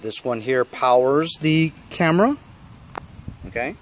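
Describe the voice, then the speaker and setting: A man's voice: medium pitch, slight background noise. A man giving a casual, off-the-cuff gadget review in a laid-back, colloquial way, as a vlogger talking inside a van.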